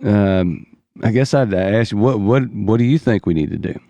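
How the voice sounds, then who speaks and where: Male co-host, low voice, loose and thoughtful, dry sound. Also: expressive, normal speed, mellow, no room sound, muffled recording. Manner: thoughtful, loose, with many fillers. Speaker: podcast co-host. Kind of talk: casual podcast chat.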